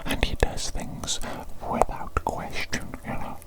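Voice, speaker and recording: A woman's voice: slow pace, lightly acted. Woman playing a whispering, pompous evil doctor. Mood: smug, grumbling. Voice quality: breathy unvoiced whisper. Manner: pompous, fussy, repeats phrases emphatically. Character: pompous, exasperated evil doctor, self-proclaimed mad scientist genius. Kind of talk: whispered ASMR roleplay.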